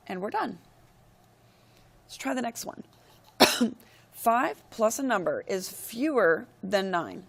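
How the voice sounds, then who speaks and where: A woman teacher talks through a math lesson while she records her screen. Her voice is hoarse and gravelly because she is losing it. She explains each step in a steady, methodical way. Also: mid-range voice. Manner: steady, step-by-step explaining with frequent "all right, go ahead".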